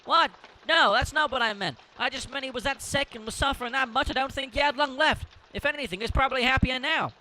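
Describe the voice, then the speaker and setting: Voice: high voice, quick pace, few pauses, sharp tone, a little hiss or hum. A performer playing a defensive, earnest farm heir protesting innocence in a comic audio drama. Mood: flustered. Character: defensive grieving farm heir, soft-hearted, insomniac.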